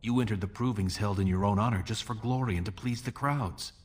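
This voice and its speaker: An actor playing a scheming younger dwarf prince in a fantasy video game, measured, persuasive and conspiratorial. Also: male voice, fairly low pitch, close to an everyday voice. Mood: persuasive intrigue.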